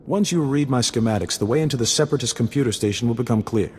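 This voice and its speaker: A man's voice: mid-range voice, fast, a little mellow, a little hiss or hum, lightly acted. Man acting sly courteous smuggler informant, echoing hall. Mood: polite, amused. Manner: florid, mock-polite, wordy. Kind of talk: video game character dialogue. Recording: reverberant hall sound under music.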